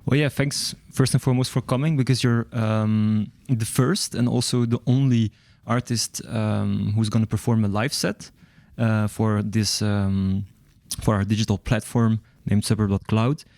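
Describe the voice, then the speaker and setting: Host asking questions with many ums. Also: male voice, lowish voice, steady tone, measured pace, darkish tone, no room sound. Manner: filler-heavy, many ums. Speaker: interviewer host. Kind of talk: casual interview questions.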